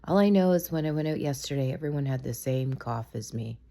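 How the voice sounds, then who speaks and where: A woman with a raspy voice from being sick, chatting casually to camera in a rambling, opinionated gossip vlog. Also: extremely low pitch, lively intonation, brisk, mellow. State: sick, raspy from a cold, coughing. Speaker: vlogger.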